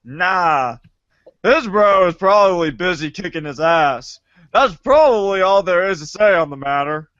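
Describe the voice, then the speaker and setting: Man voicing excitable boy, high-pitched, slow drawn-out delivery, theatrical, exasperated, amateur comic drama, slightly dull recording. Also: no room sound. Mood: casual, grumbling. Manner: theatrical, prone to drawn-out wails. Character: excitable, exasperated, foul-mouthed boy prankster victim. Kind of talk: amateur comedic readers' theater acting.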